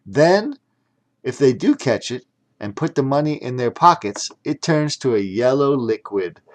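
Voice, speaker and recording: Animated, unhurried male children's storyteller. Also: mid-range voice. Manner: theatrical, with playful sound words. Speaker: audiobook narrator reading his own story.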